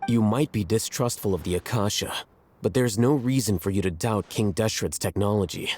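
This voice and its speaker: A man playing a calm, authoritative scholar in a fantasy game, matter-of-fact and assured. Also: fairly low pitch, normal speed, slight background noise, lightly acted.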